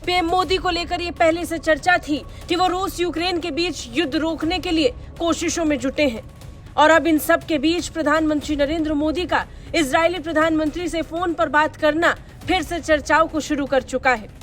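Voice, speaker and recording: High-pitched woman reading news over music. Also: steady tone, moderate pace, a bit bright. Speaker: news reporter reading a bureau report. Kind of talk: scripted news voice-over narration. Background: music under the voice.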